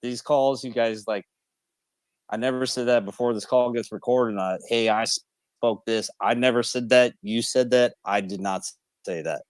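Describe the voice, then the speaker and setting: A man's voice: medium pitch, steady tone, moderate pace, clear, resonant tone, no echo. A man in his late thirties arguing casually on a group call, calling others bro and man. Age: 30s. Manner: casual, calls people bro and man, defensive. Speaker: call participant. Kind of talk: heated debate on a group call.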